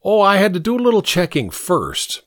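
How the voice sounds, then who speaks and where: Man playing a lofty, higher-pitched teenage boy genius. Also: expressive, unhurried, a bit bright, no room sound, studio quality. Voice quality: squeaky, breaking adolescent voice. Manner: formal, dignified, precise wording. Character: smug, lofty fourteen-year-old boy genius, indignant.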